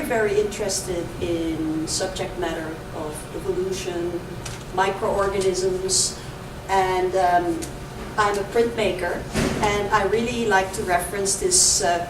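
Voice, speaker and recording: Dutch-accented woman giving a gallery talk, lots of ums, far from the mic, hissy background. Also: mid-range voice. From Dutch Caribbean. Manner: hesitant, lots of ums and uhs. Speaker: visiting artist. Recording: echoey room from far off with noise.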